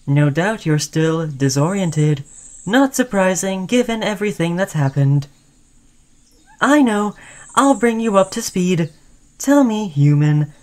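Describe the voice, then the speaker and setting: A woman's voice: lowish voice, a little mellow. A woman voicing a friendly, caring, obsessively devoted sentient robot in a chatty, soothing audio roleplay. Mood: friendly, reassuring. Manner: chatty, soothing, addressing listener as human friend. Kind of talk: scripted ASMR roleplay addressed to the listener.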